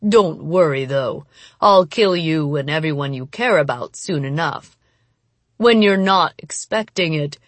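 A woman voicing a cruel, mocking male demon in an audiobook, speaking in a slow, menacing, gloating way. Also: sits low, no room sound. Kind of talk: dramatic audiobook character voice.

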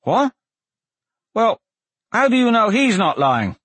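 A man's voice: sits high, very animated, takes it slowly, a bit bright, no echo, pitched above the performer's own voice, exaggerated voice. A man voicing a brash, defiant young male prisoner, cocky and blunt, in a fantasy audiobook. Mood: cocky, indignant. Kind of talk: fantasy audiobook character dialogue.

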